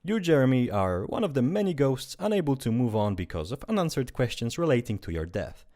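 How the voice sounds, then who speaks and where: A man's voice: medium pitch, very animated, fairly quick, warm tone, close to an everyday voice. Man playing a glib afterlife bureaucrat. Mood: officious. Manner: breezy, condescending, bureaucratic. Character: fussy, glib male afterlife closure officer. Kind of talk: amateur radio drama acting.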